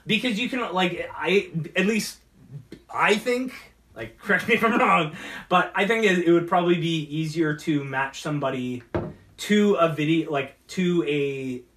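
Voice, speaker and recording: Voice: medium pitch, unhurried, a bit bright. A talk show host chatting casually in a rambling, hesitant way. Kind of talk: casual chat. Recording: distant mic.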